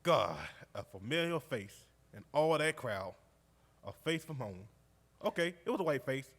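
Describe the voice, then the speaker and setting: An actor playing a jovial, warmhearted World War II veteran from Georgia with a Southern accent, spinning his memories in a folksy, exuberant way on stage. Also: mid-range voice, big pitch swings, a little slow. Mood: reminiscing, earnest. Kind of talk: live stage storytelling monologue, conversational. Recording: live stage recording in recreation hall.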